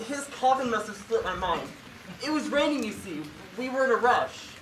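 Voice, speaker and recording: A young woman acting a deadpan, evasive, dim-witted husband in a high school stage comedy, recorded on an echoey stage. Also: moderate pace, lightly acted. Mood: deadpan evasion. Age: teen. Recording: echoey noisy stage, distant mic.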